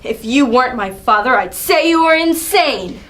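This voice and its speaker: A woman performs a young prince of Greek tragedy, defiant and principled. The voice is fairly high and the delivery cutting, in the style of a dramatic reading. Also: animated, moderate pace, a bit bright. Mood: outraged.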